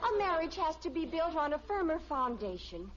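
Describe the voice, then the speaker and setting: High-pitched, indignant teenage girl. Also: sing-song, normal speed. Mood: earnest, indignant. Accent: American. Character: earnest, indignant teenage daughter insisting she is grown up. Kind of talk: mid-century radio sitcom acting. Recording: muffled, very noisy old radio.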